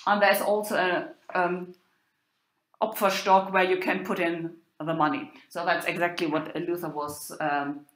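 A woman lecturer giving an unhurried talk, pausing often with ums and uhs. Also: on the low side, level tone, a bit bright, roomy sound, rough recording. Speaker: university lecturer. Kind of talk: lecture on medieval drama.

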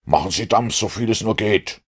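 A man's voice: lowish voice, moderate pace, breathy edge, voice pushed up. A man voicing a commanding, driven detective with an emphatic delivery in an audiobook. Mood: fierce, urgent.

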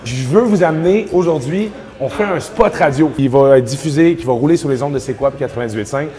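A man in his thirties with a Québécois French accent, chatting casually as he walks through a radio station's offices and studio on a lapel mic, smooth and self-deprecating. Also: a little high, fairly quick, warm tone. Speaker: event host and radio personality. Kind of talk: casual vlog narration.

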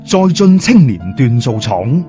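A man's voice: mid-range voice, sing-song, unhurried, music in the background. A man with a Hong Kong Cantonese accent narrating a story as a dramatic audiobook.

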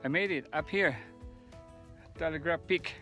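A man in his thirties filming himself on a mountain hike, talking in a casual, unhurried way with many fillers and restarts, recorded on a phone held at arm's length outdoors. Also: on the high side, over music. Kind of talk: casual hiking vlog narration.

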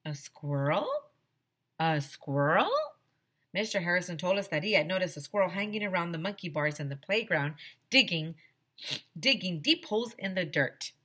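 A woman's voice: lowish voice, a little fast, slightly rough. A middle-aged woman reading a children's story aloud expressively, in the manner of a teacher, close on a headset microphone. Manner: animated, acts out dialogue. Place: small decorated home classroom.

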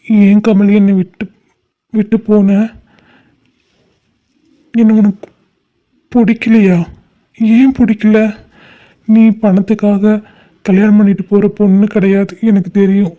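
A man's voice: high-pitched, little pitch movement, slow, pauses often, phone-quality sound. A young man playing a heartbroken lover, speaking with a Tamil accent, pleading and crying. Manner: pleading, tearful and despairing. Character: lovesick young man, grieving and desperate. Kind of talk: short-film drama acting.

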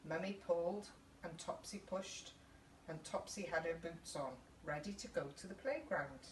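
A woman's voice: on the low side, moderate pace. British woman doing story time for little kids, echoey room, mic a bit far off. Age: middle-aged. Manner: child-directed, asking listeners questions. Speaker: story time host reading to children. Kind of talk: children's picture book read-aloud. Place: small indoor youth group playroom. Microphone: camera a couple of metres away. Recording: echoey room, distant mic, faint background noise.

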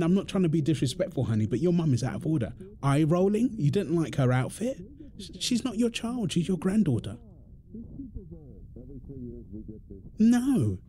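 A man's voice: on the high side, warm tone, slight background noise. A British man playing an exasperated, defensive husband in an audio roleplay, arguing in an insistent, repetitive way. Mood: heated, indignant. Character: exasperated, defensive husband and father quarrelling indignantly. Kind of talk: dramatic one-sided argument roleplay.

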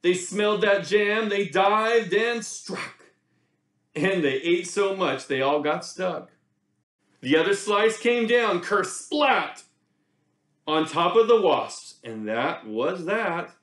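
A man's voice: high voice, slow, roomy sound. A middle-aged American man reading a picture book aloud to children with theatrical expression and chatty asides in a small room. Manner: animated, theatrical, addresses young listeners directly. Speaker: children's read-aloud presenter.

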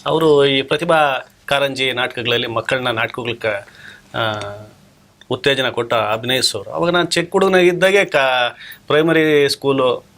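A man reminiscing as an interview guest, halting as he searches for words. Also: medium pitch, fairly bright tone. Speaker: interview guest, theatre artist.

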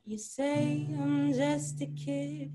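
A woman's voice: sits high, level tone, very slow. A young woman singing a heartfelt original song while playing acoustic guitar at home into a laptop webcam. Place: room at home. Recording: guitar loud behind the voice.

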